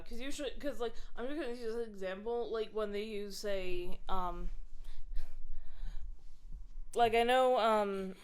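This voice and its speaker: A woman with a clear, smooth, medium-pitched voice. She co-hosts in casual conversation, chiming in often and circling around her point with chatty, roundabout phrasing. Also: a little slow, pauses often. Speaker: podcast co-host. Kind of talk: casual chat.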